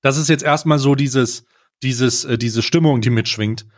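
A man's voice: mid-range voice, moderate pace. A man talking casually as a podcast host, emphatic and fond of posing rhetorical questions.

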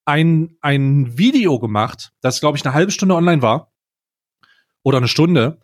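Higher-voiced man, mocking podcast banter. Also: expressive, unhurried. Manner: mocking, rambling storytelling. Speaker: podcast co-host. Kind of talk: casual comedic podcast chat.

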